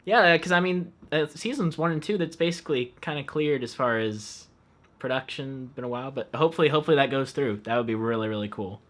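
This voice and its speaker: A man's voice: mid-range voice, fairly quick, a bit bright. A man hosting a casual live stream interview in a friendly way, reading out viewer questions. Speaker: host.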